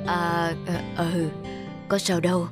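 A woman voicing a worried, self-sacrificing elderly village mother in a cartoon, gentle and plaintive in manner. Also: fairly low pitch, flat delivery, takes it slowly, music in the background. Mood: tender. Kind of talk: animated moral tale voice acting.

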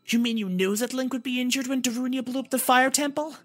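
A man voicing a small, sour, indignant female fairy with a squeaky voice in a narrated fantasy story. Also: fairly even intonation, quick pace, a bit bright, husky, no room sound, soft background music, full character voice. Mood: alarmed.

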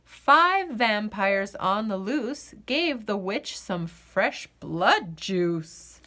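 A woman reading a children's picture book aloud in an expressive, sing-song storyteller's delivery. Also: medium pitch, drawn-out words.

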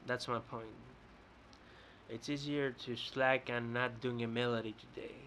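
A man's voice: mid-range voice, little pitch movement, a little slow, a bit bright, a little hiss or hum, poor audio. Young Greek-accented man ranting to webcam. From Greece. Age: young adult. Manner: rambling, repeats and restarts words. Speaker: vlogger. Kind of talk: casual rant to camera. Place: bright indoor room. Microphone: webcam close up.

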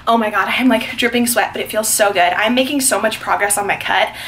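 A woman's voice: mid-range voice, a little fast, fairly bright tone. A young American woman chatting casually to her vlog camera at arm's length, effusive and slangy. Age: young adult. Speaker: vlogger. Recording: faint background noise.

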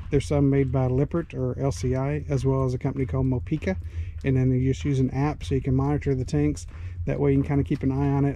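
A man's voice: mid-range voice, dark tone, a little hiss or hum. A middle-aged man with a Southern US accent giving casual, plain-spoken RV camping tips, recorded on a handheld camera outdoors at a snowy campground and inside an RV. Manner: easygoing, plain-spoken, with frequent uh fillers. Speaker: RV vlogger.

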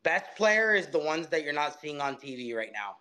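Measured man giving opinions. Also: a little high, big pitch swings, pushed and bright. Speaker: guest. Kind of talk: casual chat. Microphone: webcam on video call.

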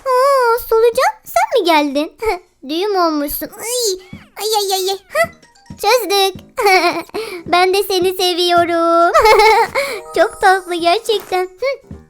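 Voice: very high voice, lively intonation, slow pace, fairly bright tone. A playful host of a children's game video with an exclamatory, giggly, cooing way of talking to cartoon animals. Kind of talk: playful children's gameplay commentary. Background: children's music.

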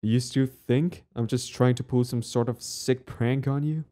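A man's voice: medium pitch, steady tone, normal speed, dark tone, close to an everyday voice. A young man acting a possessive, lovestruck bully in an intimate boyfriend audio roleplay, speaking earnestly with hesitant stammers. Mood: tender, confessing.

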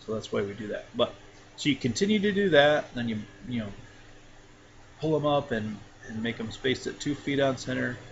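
A man in a relaxed screen-recorded tutorial, walking the listener through each step with easy fillers. His voice sits in a middle pitch and comes through a dull, lo-fi mic with steady noise behind it. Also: moderate pace. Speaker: software tutorial instructor.

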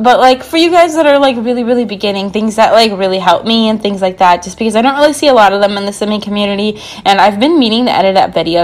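A young woman gaming creator doing a casual, bubbly, rambling voiceover with a slightly sore throat. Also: mid-range voice. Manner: bubbly, rambling, frequent "like" and asides. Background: background music.

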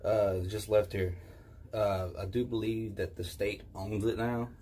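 A man in his thirties filming a casual urban exploration vlog on a phone held at arm's length, talking in a relaxed, offhand way with fillers. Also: fairly low pitch, a little slow, a little hiss or hum. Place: abandoned train cars, indoors and outside. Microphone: phone camera held at arm's length.

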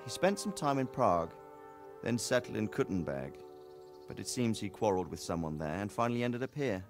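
An actor playing a middle-aged medieval knight talking to his son in a video game, measured and reflective. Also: fairly low pitch, big pitch swings. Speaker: knight lord, father of the hero. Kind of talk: video game cutscene dialogue. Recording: soft game music behind.

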